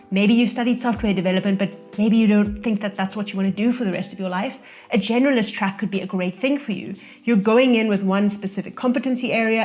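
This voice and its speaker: South African woman giving casual career advice. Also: medium pitch. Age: young adult. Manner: relaxed, conversational, speaks from own experience. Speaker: vlogger sharing career advice. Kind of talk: casual talking-to-camera advice. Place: indoor room, losing daylight.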